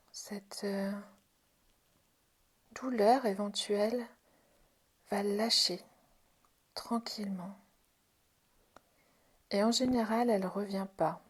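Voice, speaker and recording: Woman teaching a gentle relaxation exercise, soft husky breathy voice, kinda whispery at times, reassuring. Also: medium pitch. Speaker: Jin Shin Jyutsu self-help instructor. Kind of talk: guided practice lesson, spoken informally.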